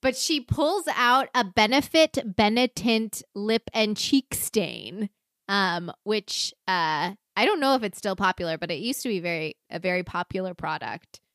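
A woman co-hosting a casual show discussion, animated and acting out quoted lines, laughing often. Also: medium pitch, unhurried, no echo, studio quality. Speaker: podcast co-host. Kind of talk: casual chat.

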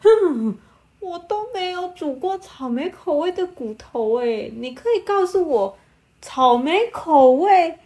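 A woman voicing a naive, good-natured soup shop owner in a bedtime story, with exaggerated wonder and drawn-out words. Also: extremely high pitch, lively intonation, dark tone, voice pushed up, heavily acted. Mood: puzzled, amazed.

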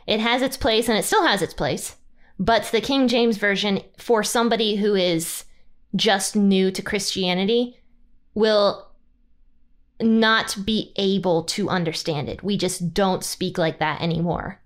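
A woman hosting a podcast, chatting in a relaxed, casual way and laughing easily. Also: measured pace. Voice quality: low singing voice. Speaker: podcast host. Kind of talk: casual conversational interview.

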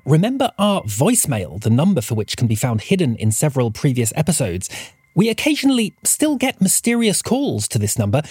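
A woman's voice: low-pitched, darkish tone, slightly rough, dry recording, clean studio sound. A woman announcing scripted podcast credits and appeals to listeners. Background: music.

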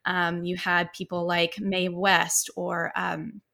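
A woman's voice: fairly low pitch, little pitch movement, takes it slowly, vocal fry, bright, forceful tone. A woman psychotherapist, a podcast guest, answering at length in an easygoing way, with frequent fillers and light laughs. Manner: expansive, laughs easily, many fillers.

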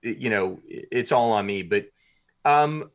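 A man being interviewed as a guest, telling stories casually in a self-deprecating, animated way with quoted asides. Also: fairly low pitch, measured pace.

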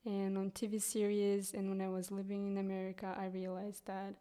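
A young woman from Kyrgyzstan with a Kyrgyz accent, a guest English teacher speaking English as a third language, pausing often with ums and restarts. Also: medium pitch, monotone, mellow, smooth. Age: young adult. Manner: hesitant, many ums and restarts. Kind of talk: casual interview.

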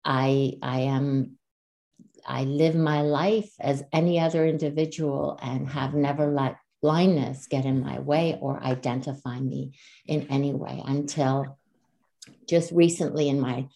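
Low-voiced Czech-born woman guest, earnest. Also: fairly even intonation, unhurried, creaky, warm tone. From Czech Republic. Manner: earnest, reflective, with frequent restarts. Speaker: podcast guest. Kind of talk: conversational interview. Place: Calgary, Canada.

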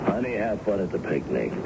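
A man's voice: lowish voice, exaggerated voice. An actor playing an easygoing middle-aged father with a wry, fond manner in a radio drama. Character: easygoing, fond father advising his daughter. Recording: muffled, very noisy old radio broadcast.

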